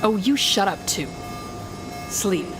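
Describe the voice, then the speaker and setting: A woman playing a smug, controlling telepathic villain in an audio roleplay, taunting the listener in a deliberate, condescending manner. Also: mid-range voice, very animated, over music. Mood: cold, commanding.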